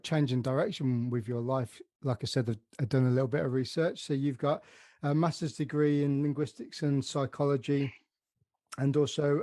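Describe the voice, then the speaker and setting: A man's voice: fairly high pitch, dark tone, dry recording. A man hosting a podcast, asking long, rambling questions with many restarts. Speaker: podcast host. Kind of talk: podcast interview.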